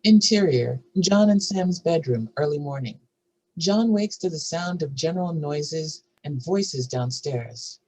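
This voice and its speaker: Husky screenplay narrator. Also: medium pitch, a little mellow, poor audio. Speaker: narrator reading stage directions. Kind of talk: scripted screenplay table-read narration.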